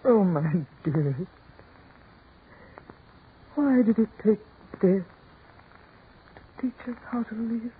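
A woman's voice: medium pitch, expressive, slow pace, long pauses, slight background noise. An actress playing a dying woman, rapturous and yearning, in the breathless, theatrical style of 1940s radio melodrama. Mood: tender, tearful. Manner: breathless, fervent, theatrical delivery. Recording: muffled, tinny 1940s radio recording with music.

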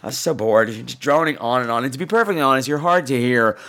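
A man's voice: medium pitch, fast, a bit bright. A man hosting a casual podcast, theatrical, sarcastic and foul-mouthed, speaking while sick with flu and laryngitis. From New York. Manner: sarcastic, profane, mock-offended. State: ill with flu and laryngitis, on cold medicine. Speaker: podcast host. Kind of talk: casual chat, listener feedback segment.

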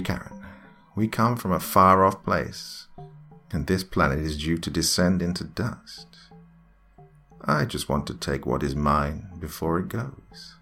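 A man voicing an evil alien demon queen in a horror story, with a raspy, slithering, mock-tender and menacing delivery. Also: low-pitched, pitched above the performer's own voice. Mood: coaxing, commanding.

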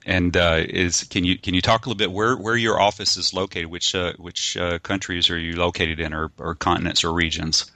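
A man interviewing a guest, asking measured questions with occasional fillers. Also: low voice, steady tone, bright, forceful tone, no room sound. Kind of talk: business interview.

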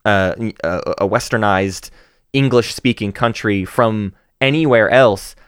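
A man co-hosting a casual book podcast, talking about and reading poetry with animated emphasis and halting restarts. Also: on the low side, clear, resonant tone, dry recording, studio quality. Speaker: podcast co-host.

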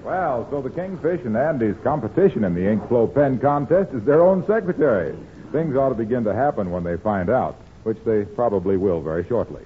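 A man's voice: medium pitch, lively intonation, a little fast. A man announcing a 1940s radio show in a folksy style, addressing listeners directly, on a scratchy, tinny recording. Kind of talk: 1940s radio narration and sponsor pitch.

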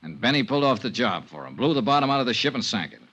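An actor playing a tough, wry insurance investigator in a 1950s American radio drama, clipped and matter-of-fact. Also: male voice, medium pitch, very animated, very fast, a bit bright, slightly rough. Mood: assured, reasoning. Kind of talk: 1950s radio detective acting. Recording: vintage 1950s radio broadcast.

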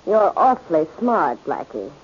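A woman's voice: low-pitched, big pitch swings, a little slow, a little hiss or hum. An actress playing a cornered, scheming, defiant female crime boss in 1940s radio drama style. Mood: rattled. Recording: muffled vintage radio recording.

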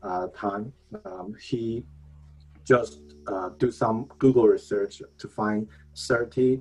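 Husky low-voiced man, halting delivery. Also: dark tone, soft background music. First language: Chinese. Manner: halting, many fillers and restarts. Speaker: guest speaker. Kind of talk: online talk answering a host. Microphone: video call.

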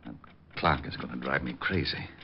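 An actor playing a gruff, skeptical male police lieutenant in a 1950s radio drama. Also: rumbling low, moderate pace, full character voice. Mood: grumbling. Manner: gruff and grumbling. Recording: dull old radio broadcast with faint hiss.

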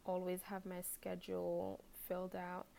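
A young woman from Haiti, a fashion blogger and podcast guest, talking in a relaxed, hesitant way with many fillers. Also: on the low side, unhurried, creaky, a little hiss or hum. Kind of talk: casual interview chat.

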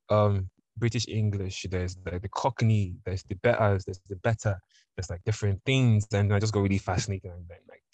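A young Nigerian man with a fairly low voice, chatting casually on a podcast. He tells his story in an animated way and slips into mock voices for other people. The sound is close and dry, with no room around it. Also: no room sound, low-quality recording. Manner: animated, acts out voices in quotes. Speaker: podcast guest.

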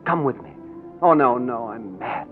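An actor playing an earnest, lovesick young knight in a 1940s radio drama. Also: male voice, mid-range voice, lively intonation, normal speed, natural voice. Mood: ardent, sorrowful. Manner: earnest, impassioned romantic delivery. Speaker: lead actor. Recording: tinny old radio broadcast with music. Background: orchestral score.

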